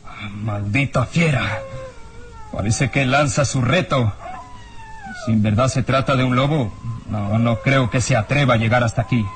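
An actor playing a hard-nosed, uneasy police inspector in a radio serial, with a theatrical, menacing way of questioning. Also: male voice, medium pitch, moderate pace, a crowd in the background, lightly acted. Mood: tense, defiant. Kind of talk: old radio serial acting. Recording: radio drama with music underneath.

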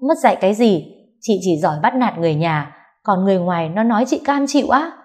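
A woman voicing a fiery, foul-mouthed younger sister who shouts and scolds in a high, sharp voice. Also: lively intonation, fast talker, lightly acted. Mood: angry. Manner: loud and fierce.

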